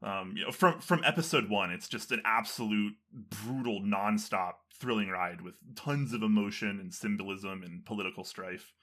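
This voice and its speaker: A man's voice: lowish voice, normal speed, hardly any pauses, bright, forceful tone. A man in casual conversation, talking enthusiastically and using many fillers.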